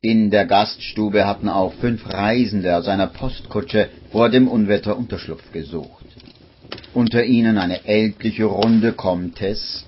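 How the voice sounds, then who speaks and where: A man narrating a German radio drama from the 1950s as a measured, scripted storyteller. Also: on the low side. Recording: muffled vintage recording, faint noise.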